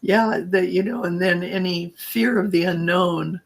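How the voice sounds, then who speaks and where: A woman podcast co-host and coach with a scratchy, raspy voice, telling her own story in a halting, reflective way. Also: fairly low pitch, steady tone. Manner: halting and reflective, trails off mid-thought. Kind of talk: personal storytelling in casual chat.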